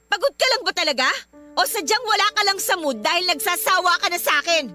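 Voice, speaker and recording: An actress playing a pushy, amorous young Filipino wife who takes offense quickly in a radio drama. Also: female voice, very high-pitched, animated, bright, forceful tone, soft background music, full character voice. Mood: hurt, indignant.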